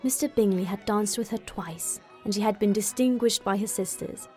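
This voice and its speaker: A woman's voice: mid-range voice, moderate pace, a little mellow, soft background music. A woman narrator reading a classic novel aloud as scripted audiobook narration.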